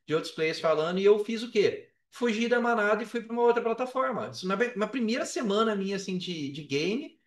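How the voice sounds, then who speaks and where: A man's voice: sits high, animated, fairly quick, few pauses. A man with a Brazilian Portuguese accent telling his own story casually on a podcast, with slang, swearing and emphatic repetition. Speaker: podcast guest, affiliate marketer. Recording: roomy echo, distant from the mic.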